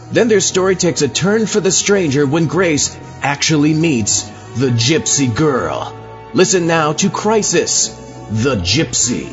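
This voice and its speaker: A man hosting a podcast, narrating a scripted introduction to a horror story. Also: a little high. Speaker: podcast host introducing radio tales. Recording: slightly muffled, faint music behind.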